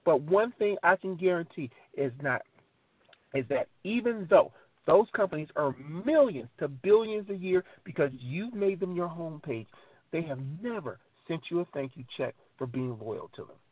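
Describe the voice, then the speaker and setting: A man presenting a motivational network marketing pitch over a conference call, speaking emphatically in a repetitive, preacher-like cadence full of rhetorical questions. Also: expressive, normal speed, breathy edge, no echo. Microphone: telephone conference call. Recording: tinny narrow phone line.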